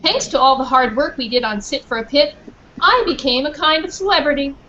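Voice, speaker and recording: A woman's voice: high-pitched, fairly quick, bright, forceful tone. A woman playing a proud, earnest pit bull dog who narrates his own story in a school play. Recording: muffled, noisy school performance recording.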